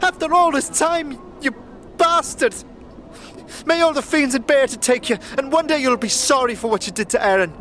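A woman's voice: sits high, normal speed, a bit bright, a little hiss or hum. A woman voicing an outraged, bitter healer in a fantasy audio drama.

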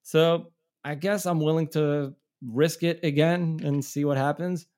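A man's voice: on the high side, fairly even intonation, measured pace, darkish tone. Rambling Israeli-born musician, storytelling man. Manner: rambling, many restarts and laughs. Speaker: touring musician, guest. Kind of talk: casual storytelling.

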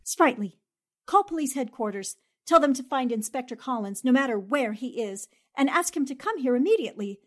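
A self-possessed newspaperwoman from a 1940s radio play, acted by a woman. Her voice sits on the high side, and she talks in a brisk, businesslike way, fully in command. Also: animated. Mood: urgent, businesslike. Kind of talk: 1940s radio drama acting.

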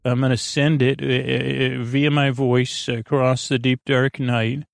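Creaky gravelly guy doing a rambly bedtime podcast, hesitant and drifting, dry close sound w faint music. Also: mid-range voice, flat delivery, no echo. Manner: hesitant, halting, drawn-out, digressive. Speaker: podcast host. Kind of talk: rambling, meandering bedtime monologue.

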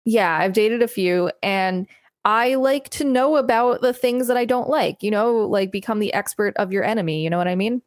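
A woman's voice: medium pitch, normal speed, no room sound, clean studio sound. A woman co-hosting a podcast, reading an article aloud with casual commentary. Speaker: podcast co-host.

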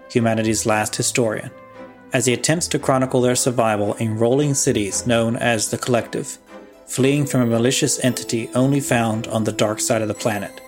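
Gravelly male podcast host reading credits. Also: medium pitch. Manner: plain, even read promotional announcements. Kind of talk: scripted show outro and credits.

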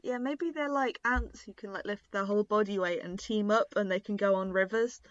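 A woman's voice: mid-range voice, moderate pace, no room sound, highs cut off. A woman co-hosting an improvised comedy podcast, riffing goofily and laughing easily. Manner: goofy, playful, laughs easily. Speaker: podcast co-host.

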